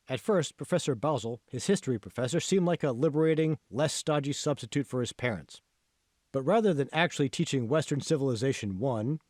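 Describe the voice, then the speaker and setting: Male author-narrator, medium pitch, measured phrasing, scripted storytelling, dry close sound. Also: a little mellow, no echo. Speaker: author reading his own audiobook.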